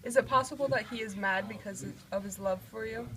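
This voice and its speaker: A woman acts out an officious old male counsellor at a royal court. The voice is mid-pitched, and the style is homemade scripted puppet drama. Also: a little fast, a crowd in the background, lightly acted, poor audio. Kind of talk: amateur scripted puppet-show acting.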